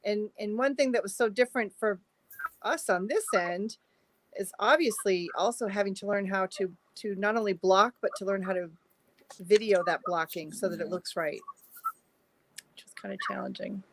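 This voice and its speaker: A woman's voice: medium pitch, moderate pace, no echo. Woman with dry, close sound, chatting. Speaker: community theatre practitioner. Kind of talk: informal panel discussion. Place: remote video call.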